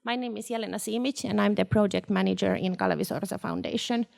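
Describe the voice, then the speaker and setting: A woman's voice: medium pitch, big pitch swings, fast talker, vocal fry, a little mellow. A woman giving a prepared welcome as host of a public discussion. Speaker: event host and project manager. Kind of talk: prepared welcome speech to an audience.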